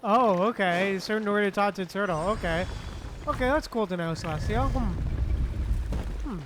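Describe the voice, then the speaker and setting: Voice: mid-range voice, normal speed, background noise. A streamer giving casual, slangy, unscripted gameplay commentary, muttering reactions and reading text aloud offhandedly. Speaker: streamer playing a game. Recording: loud game music behind the voice.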